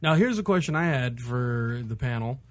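A man with a medium-pitched voice talks as a podcast panelist. His delivery is casual and rambling, full of loose, conversational asides. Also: animated, moderate pace, no echo. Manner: rambling, peppered with "like". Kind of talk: casual chat.

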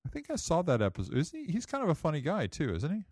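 A man co-hosting a casual podcast, enthusiastic and quick to interject. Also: a little high, huge pitch swings, darkish tone, breathy edge, no room sound, clean studio sound. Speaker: podcast co-host.

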